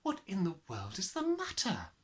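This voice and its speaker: A man voicing a gentle, kindly woman schoolteacher in a storybook, quiet and softly encouraging. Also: high voice, huge pitch swings, normal speed, voice pushed up. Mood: quietly concerned.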